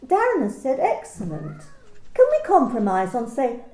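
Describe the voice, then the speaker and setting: A woman's voice: sits high, normal speed, roomy sound. A woman playing a clinical, prying office manager. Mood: businesslike. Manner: calm, matter-of-fact, bureaucratic questioning. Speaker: manager in an amateur radio play. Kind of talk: amateur lockdown radio play reading.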